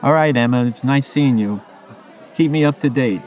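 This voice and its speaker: A man's voice: medium pitch, moderate pace, a little mellow, dull audio, a faint crowd, a little hiss or hum, lightly acted. A man playing a supportive, pragmatic friend in a radio play, questioning and advising conversationally. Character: Jerry, supportive, pragmatic male friend giving advice.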